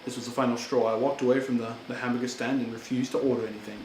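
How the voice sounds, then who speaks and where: A man's voice: medium pitch, fairly even intonation, rapid-fire, darkish tone, natural voice. A man playing an earnest German immigrant pleading. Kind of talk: amateur historical role-play monologue. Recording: echoey room with background noise.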